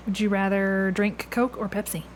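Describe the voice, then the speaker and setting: A woman's voice: medium pitch, fairly even intonation, moderate pace, poor audio. Woman interviewer with background noise. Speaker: podcast host, interviewer. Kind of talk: casual question-and-answer interview.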